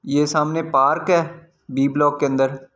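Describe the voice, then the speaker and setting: Higher-pitched Pakistani man giving property commentary. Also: little pitch movement, measured pace, a bit bright. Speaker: real estate agent, video host. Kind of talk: property site-visit commentary.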